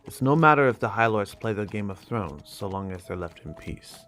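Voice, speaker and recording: A man's voice: on the low side, expressive, moderate pace, a bit bright, faint music behind. A man voicing a grave, loyal, world-weary exiled knight in a sober, blunt manner for a fantasy reading.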